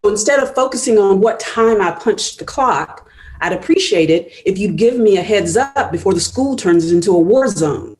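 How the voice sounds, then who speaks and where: A woman's voice: medium pitch, animated, normal speed, darkish tone, natural voice, poor audio. An actress reading a shaken but firm teacher who stands up to her supervisor in a table read. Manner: firm, exasperated.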